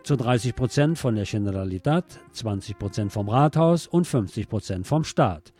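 Mellow-voiced man reading radio news over guitar. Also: on the low side, lively intonation, normal speed. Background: plucked guitar music.

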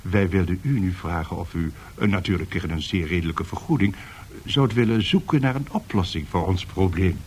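An actor playing a courteous, deferential bank director in a radio drama, with a husky voice and formal manner. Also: male voice, low voice, brisk, darkish tone, slight background noise. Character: courteous, flattering bank director seeking help. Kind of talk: radio play acting.

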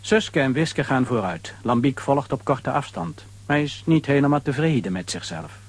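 A man narrating a children's radio play as a dramatic, animated storyteller. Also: mid-range voice, moderate pace, husky.

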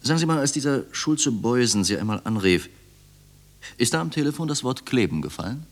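An actor playing a coldly sardonic, probing police interrogator in a German radio drama, insistent and clipped. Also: male voice, medium pitch, animated, brisk. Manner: insistent, clipped, swinging from quiet to sharp.

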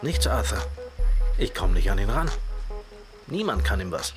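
An actor playing an anxious, exasperated mob lawyer in a German radio drama, agitated and protesting. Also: male voice, fairly low pitch, big pitch swings, normal speed. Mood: resigned tension. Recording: music behind the voice.

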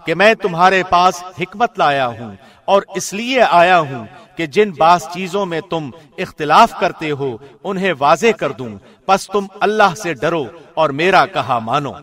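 Male reader, fairly high pitch, formal scripted scripture translation, measured radio-host diction. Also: lively intonation, fairly bright tone.

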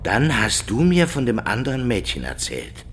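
An actor playing a brooding, insistent policeman in a radio drama, speaking with urgent, quiet intensity. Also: medium pitch, wildly swooping pitch, moderate pace, slight background noise. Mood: brooding, intent.

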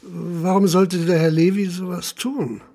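An actor playing a sober, methodical small-town police inspector in a German radio drama, speaking in a measured, matter-of-fact way. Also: male voice, high-pitched, fairly even intonation, mellow, no echo, clean studio sound. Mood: sceptical, firm.

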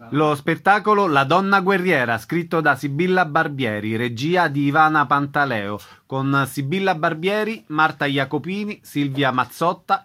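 Male radio announcer, slightly high voice. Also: sharp tone. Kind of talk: scripted announcement with credits.

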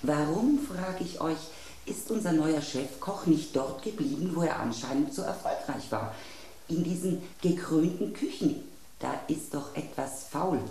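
Mellow, dry cynical table knife. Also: female voice, low-pitched, animated, measured pace, echoey room, a little hiss or hum, rough recording. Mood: suspicious. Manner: dry, sardonic. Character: cynical, suspicious silver table knife with dulling blade. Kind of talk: German radio play acting.

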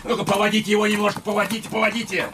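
A man's voice: high voice, completely flat delivery, very fast, noisy background, lightly acted. An actor playing a boisterous middle-aged horseman in theatrical radio style, calling out exclamatory commands. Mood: blustering, amused. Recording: far from mic, loud outdoor horse effects.

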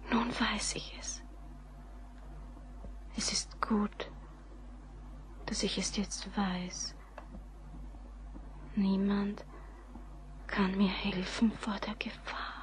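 An actress playing an anxious young bride resigned to her doom in a radio drama, speaking in a hushed, breathy, halting way. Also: medium pitch, level tone. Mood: fearful, resigned. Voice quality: breathy, often near whispering. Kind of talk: German radio play acting.

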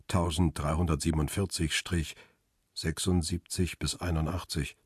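An actor playing a weary middle-aged photographer who tells his own story in a measured, sober way for a radio drama. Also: a little mellow, dry recording, studio quality. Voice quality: low, husky. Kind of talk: radio drama first-person narration.

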